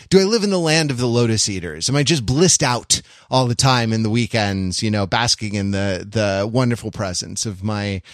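A man's voice: lowish voice, no room sound. Rambling wry man in a dry-sounding room. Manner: rambling, wry, mock-grandiose phrasing. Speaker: podcast co-host. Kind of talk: casual podcast chat.

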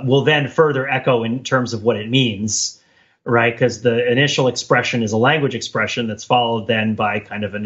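A man with a medium-pitched voice co-hosts a casual, analytical podcast. He talks discursively, tagging points with "right?" as he goes. The audio is a little dull and lo-fi. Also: fairly bright tone. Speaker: podcast co-host.

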